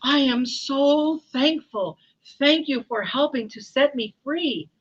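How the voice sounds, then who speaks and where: A woman's voice: sits high, takes it slowly, pitched above the performer's own voice. Lady doing a big proud lion for a kids story, roary and bombastic, kinda muffled recording. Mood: grateful. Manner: bombastic, roaring, loud declarations. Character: mighty boastful male lion, proud and roaring.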